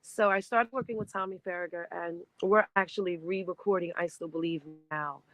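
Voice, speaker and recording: A woman in her fifties, a singer being interviewed, chatting in an animated way and laughing easily. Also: fairly low pitch, fairly quick, no echo, low-quality recording. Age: 50s. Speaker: guest singer. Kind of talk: casual interview chat.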